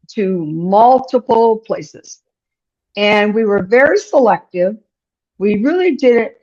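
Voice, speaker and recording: A woman in her sixties speaks at an ordinary middle pitch, chatty and direct as she tells her story. The podcast recording sounds a little dull and lo-fi. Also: a little mellow. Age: 60s. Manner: frank and direct, chatty, acts out quoted dialogue. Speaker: business owner guest. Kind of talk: conversational storytelling in an interview.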